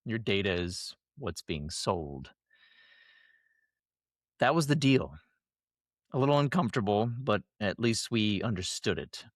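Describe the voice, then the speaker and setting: A man hosting a podcast, delivering a scripted monologue while sick and losing his voice, measured and emphatic. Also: on the low side, lively intonation, no room sound, clean studio sound. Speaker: podcast host.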